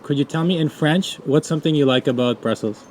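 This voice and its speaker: A Canadian man asking strangers quick questions in a casual street interview. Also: on the high side, darkish tone. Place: outdoors on a city street. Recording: noisy city street.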